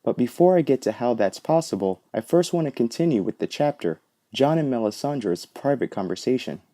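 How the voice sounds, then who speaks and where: A man's voice: mid-range voice, lively intonation, fairly quick, mellow, no echo. A man hosting a podcast, delivering scripted analytical commentary in an explanatory way. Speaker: podcast host.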